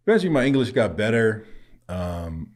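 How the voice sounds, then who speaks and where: Deep-voiced man telling stories. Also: big pitch swings, moderate pace. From Samoa. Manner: laughs often while telling stories. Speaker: podcast guest. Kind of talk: casual conversational storytelling.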